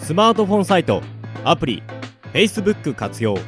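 A man's voice: fairly high pitch, sing-song, normal speed, a bit bright, soft background music. A man reading scripted sponsor announcements as a radio announcer.

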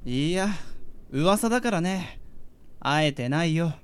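A man's voice: fairly high pitch, lively intonation, slow, smooth, dry recording. A man playing a mild, modest young working man in a radio drama, in a hesitant, polite way. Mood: bashful, hesitant. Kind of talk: amateur radio drama acting and narration.

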